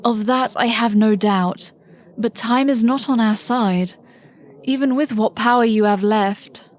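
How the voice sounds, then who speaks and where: An actress playing a sober, skeptical celestial warrior in a fantasy audio drama, formal and cautioning. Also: female voice, fairly high pitch, a little slow, natural voice. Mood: wary.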